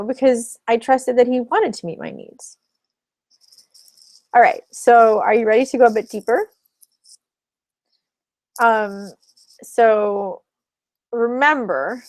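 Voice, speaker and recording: A woman's voice: medium pitch, a little mellow, dry recording. A woman relationship coach teaching a live webinar in a chatty, explanatory way, laughing between points.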